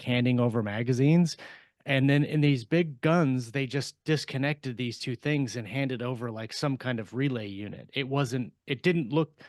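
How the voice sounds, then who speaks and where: A man co-hosting a podcast in casual discussion, explaining things haltingly as he thinks aloud. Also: medium pitch, no room sound, studio quality. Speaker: podcast co-host and fiction writer.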